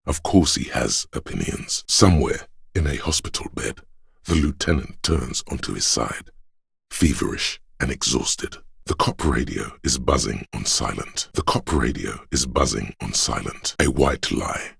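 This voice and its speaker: A man playing a grave, all-knowing inner voice of police camaraderie in a video game, narrating in a solemn, measured, literary way with a gravelly, husky tone. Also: extremely low pitch, expressive, a bit bright, dry recording, clean studio sound, exaggerated voice. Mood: brooding, measured.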